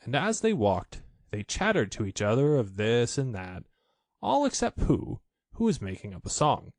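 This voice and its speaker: A man narrating a children's storybook in an expressive, measured read-aloud style. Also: medium pitch, no room sound, studio quality.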